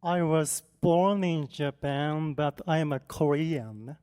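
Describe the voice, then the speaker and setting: A man interpreting questions from an audience member, hesitant and halting, with many restarts and fillers, in a reverberant hall. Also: fairly high pitch, a little mellow, clear, resonant tone. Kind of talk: consecutive interpretation.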